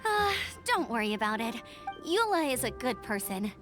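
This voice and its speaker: A woman's voice: a little high, big pitch swings, moderate pace, over music. An actress voicing a perky, caring young woman scout in a video game, chatty, friendly and earnest. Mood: kindly.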